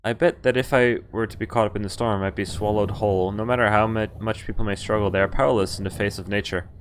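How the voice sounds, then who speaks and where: A man's voice: lowish voice, fairly even intonation, quick pace, slight background noise. A man streaming a game, reading its story text aloud casually, stumbling over the odd word and breaking off to comment. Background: game music and sound effects.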